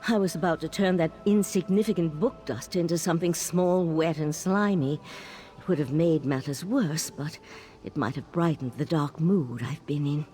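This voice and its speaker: An actress playing a troubled, sardonic mage in a video game, speaking in a weary, confiding way. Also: female voice, deep voice, moderate pace, mellow, natural voice. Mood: brisk, dry. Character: troubled, sardonic female Psijic mage, grieving mother. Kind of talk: video game character dialogue. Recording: game music behind.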